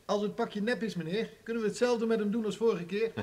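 An actor as a high-voiced, menacing henchman. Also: fairly even intonation, fast, darkish tone, natural voice. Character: menacing male henchman, obedient to his boss. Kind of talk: radio drama acting.

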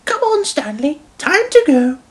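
Performer as high-voiced genteel old great-grandmother. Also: sing-song, measured pace. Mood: delighted, friendly. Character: elderly genteel great-grandmother, delighted and friendly. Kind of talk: children's storybook character voice.

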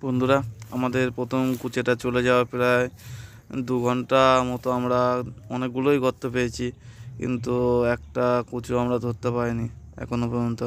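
A man's voice: mid-range voice, monotone, normal speed, clean tone. A man in his thirties hosting a fishing vlog outdoors by a pond, chatting casually to his viewers. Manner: chatty, addresses viewers as friends. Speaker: fishing channel host. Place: open farm fields by a pond, outdoors. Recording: faint outdoor noise.

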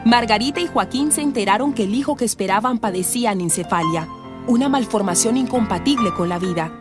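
A woman's voice: a little high, lively intonation, a little fast, over music, lightly acted. A Colombian woman narrating a scripted radio soap opera as its storyteller.

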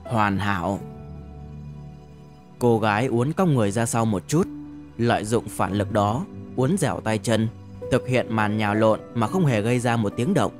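A man narrating a novel as an audiobook in a measured, deliberate storytelling manner. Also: lowish voice, over music.

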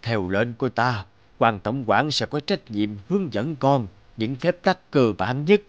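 An actor voicing an elderly, ailing king, regal and doting, in an animated fairy tale. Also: medium pitch, measured pace, no echo, lo-fi sound, close to an everyday voice. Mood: reassuring.

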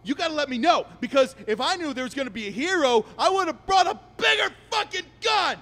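Man playing a high-pitched, foul-mouthed robber. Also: animated, fast talker, a bit bright, long reverb tail. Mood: menacing. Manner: bullying, profane, ranting. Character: foul-mouthed, short-tempered male fast-food robber with petty demands. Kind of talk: profane comedy sketch acting.

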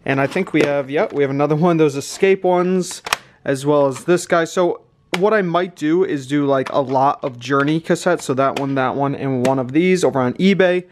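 A man with a fairly high-pitched voice gives casual, off-the-cuff vlog commentary. He thinks aloud as he tallies things up. The recording has noticeable background noise. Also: moderate pace. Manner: thinks aloud while tallying numbers. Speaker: reseller host of a thrifting channel. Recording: clear background noise, clicks.

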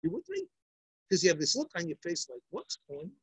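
An older man teaching a daily Talmud class, reading passages and explaining them as lively stories with asides, recorded on a laptop webcam in a study room. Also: high voice, fast. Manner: lively storytelling with big hand gestures and asides. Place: indoor study room lined with bookshelves. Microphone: laptop webcam, seated close to the screen.

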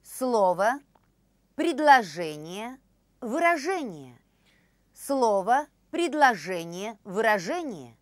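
High, slow, careful woman speaker. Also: big pitch swings, no echo, clean studio sound. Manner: repeats each phrase slowly and carefully. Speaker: language course speaker. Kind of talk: slow, clear phrase demonstration. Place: studio with orange backdrop.

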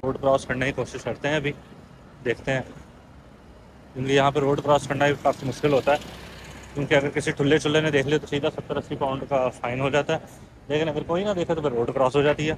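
A man in his thirties with a Pakistani Urdu accent talks casually into a phone held out in front of him while walking a busy street. His voice sits at an ordinary middle pitch and he explains things as he goes. Clear traffic noise runs underneath the whole outdoor recording. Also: little pitch movement, fast. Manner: chatty, explains as he walks. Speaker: vlogger. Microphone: phone held at arm's length.

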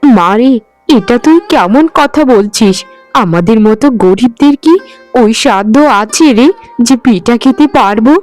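A woman's voice: a little high, lively intonation, unhurried, a little mellow, faint music behind. A woman playing a poor, careworn, tender mother in a cartoon, speaking in a plaintive, sighing, affectionate way. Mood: sorrowful. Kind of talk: animated Bengali folk-tale cartoon acting.

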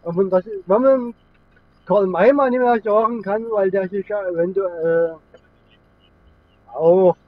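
A man's voice: high-pitched, slow, pauses often. A middle-aged man from Germany, chatting casually and rambling along as he vlogs from the driver's seat. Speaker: vlogger. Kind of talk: casual vlog chat while driving. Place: inside a moving car with air conditioning running. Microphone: camera mounted in the car. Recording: thin phone-like sound, road noise.

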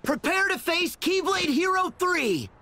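A man's voice: very high-pitched, animated, a little slow, creaky, pushed and bright, exaggerated voice. A man playing a kind, eager teenage boy hero in a video game, speaking earnestly and wholeheartedly. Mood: heroic, eager. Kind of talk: animated video game cutscene acting. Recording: music behind the voice.

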